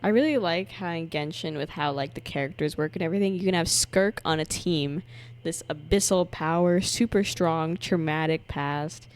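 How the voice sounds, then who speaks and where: A woman's voice: deep voice, normal speed. A woman streamer giving casual gameplay commentary in a playful, slangy, reactive way. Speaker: game streamer.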